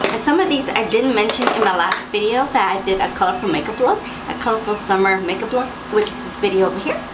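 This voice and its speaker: A young woman speaks in a raspy morning voice, chatty and instructive, walking viewers through a makeup tutorial. She talks at a middle pitch for a woman. A loud hiss and air-conditioner hum sit under her voice in a room at home. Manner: chatty, instructive, with fillers and asides. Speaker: makeup vlogger. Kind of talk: makeup tutorial talk to camera.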